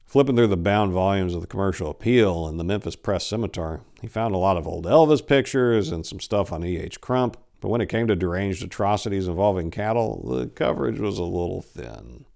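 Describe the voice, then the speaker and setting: Low-voiced man reading a horror story theatrically. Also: expressive, brisk, vocal fry, few pauses, a little mellow. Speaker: story reader. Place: indoors, book-lined room. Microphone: lapel.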